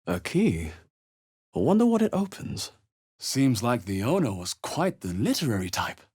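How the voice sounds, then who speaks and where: An actor playing an observant, wry adventurer in a video game, speaking in a husky, measured, understated way. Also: male voice, mid-range voice, huge pitch swings, darkish tone. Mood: curious, observant. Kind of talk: video game voice acting, short reactive lines.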